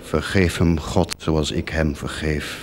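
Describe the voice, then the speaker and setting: An actor playing a tormented, guilt-ridden priest of the poor in a theatrical radio drama, repeating words and swinging between muttering and outcries. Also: male voice, very deep voice, steady tone, slow, background noise, heavily acted. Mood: grim, resigned. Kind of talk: theatrical radio drama acting, anguished monologue.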